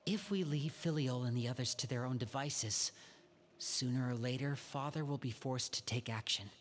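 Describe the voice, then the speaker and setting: A composed young man, played by a man, who explains things in a level, measured way as he leads his siblings. His voice sits at a middling pitch, with a faint hiss of background noise. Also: lightly acted. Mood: steady. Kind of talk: video game character dialogue.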